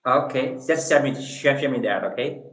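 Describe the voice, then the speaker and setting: A man's voice: medium pitch, moderate pace, fairly bright tone. A man from Eastern China with a Chinese accent, chatting casually with viewers on a livestream, hesitant and repeating words as he searches for English phrases. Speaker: livestream host. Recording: echoey room.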